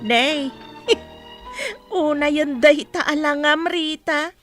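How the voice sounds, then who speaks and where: A woman's voice: sits high, very slow, fairly bright tone, faint music behind, heavily acted. A woman playing a mocking, vain village woman in a Philippine radio drama.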